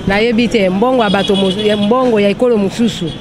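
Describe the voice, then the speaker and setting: A woman's voice: medium pitch, steady tone, a little fast. Congolese woman interviewed on a busy street. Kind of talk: complaining vox pop. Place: outdoors by a busy road. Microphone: handheld mic.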